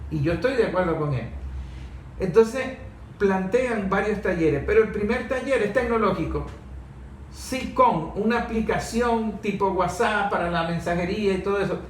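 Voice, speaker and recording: An echoey, distant recording of a Venezuelan man. He argues political points emphatically, piling up repeated phrases, in a high-pitched voice. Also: moderate pace. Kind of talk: opinionated political discussion. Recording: echoey room, distant mic, faint noise.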